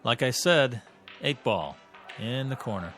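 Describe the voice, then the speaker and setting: An actor with an American accent playing a proud, prickly, obsessive young pool shark in a radio drama. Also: male voice, medium pitch, lively intonation, measured pace, fairly bright tone, soft background music. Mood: sly, casual. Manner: boastful, intense, casual American slang.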